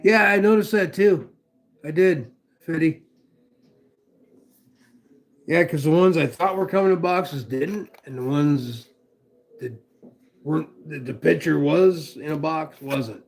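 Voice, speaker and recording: A man's voice: fairly high pitch, slow, creaky, long pauses. An older man hosting a casual livestream, rambling, folksy and profane as he reads comments. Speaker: livestream host.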